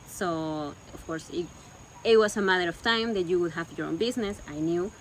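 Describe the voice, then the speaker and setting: A woman's voice: lowish voice, moderate pace, rough recording. Woman, casual home talk, faint noise behind her. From Peru. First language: Spanish. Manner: searching for words, repeating herself. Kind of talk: casual conversation.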